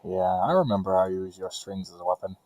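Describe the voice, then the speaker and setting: A man's voice: deep voice, quick pace, mellow, no room sound, close to an everyday voice. A man voicing a resentful younger brother in an amateur cartoon comic dub. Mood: bitter.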